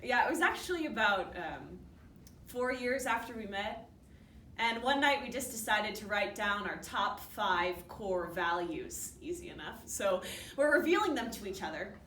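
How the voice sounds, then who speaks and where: Woman acting halting, chatty widow, echoey and distant. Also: medium pitch, expressive, a bit bright, natural voice. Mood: wistful. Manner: halting, conversational, with nervous asides. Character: grieving upbeat widow from Seattle, chatty and nervous. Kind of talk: dramatic monologue staged as a documentary interview. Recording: distant roomy hall recording with faint noise.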